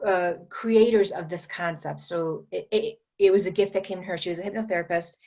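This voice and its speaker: A woman with a fairly low voice talks through her energy work slowly, circling back with fillers and restarts. The recording sounds dull and lo-fi, muffled at the top end. She is a guest on a podcast interview. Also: steady tone, mellow. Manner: unhurried, explanatory, many fillers and restarts. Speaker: guest, metaphysician and energy trainer.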